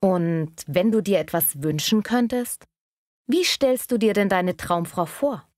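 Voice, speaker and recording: A woman playing a curious, encouraging friend in a scripted language-learning dialogue, speaking conversationally and clearly. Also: mid-range voice, measured pace, darkish tone, dry recording, clean studio sound, lightly acted. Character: curious, encouraging female friend of a single man.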